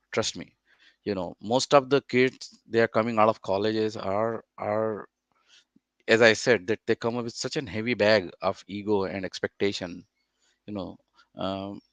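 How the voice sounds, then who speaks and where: Man, slightly low pitch, dry sound, conversational panelist, blunt delivery. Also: fairly bright tone, no room sound.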